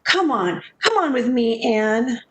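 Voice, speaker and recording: A woman plays a worried, sensible friend in a 1940s radio play. Her voice is high and unhurried, with a cautious, pleading manner. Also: animated. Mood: pleading, gentle. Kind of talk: 1940s radio drama acting.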